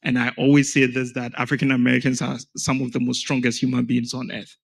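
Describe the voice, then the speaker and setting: A man's voice: mid-range voice, little pitch movement, fast talker, gravelly, slightly rough. A man from Ghana speaking as a panel guest, telling his story in an earnest, emphatic way. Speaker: panel guest, founder of an education organization. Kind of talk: panel conversation.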